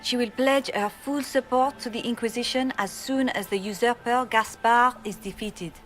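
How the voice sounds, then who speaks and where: A woman's voice: a little high, normal speed, fairly bright tone, faint music behind, natural voice. An actress playing a formal, ingratiating female court messenger in a video game cutscene.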